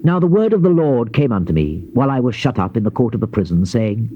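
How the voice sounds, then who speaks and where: A man giving a solemn, measured, theatrical scripted narration of scripture in a declamatory manner. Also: medium pitch, very animated. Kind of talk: dramatized Bible reading, theatrical scripted narration. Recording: dull lo-fi cassette with noise.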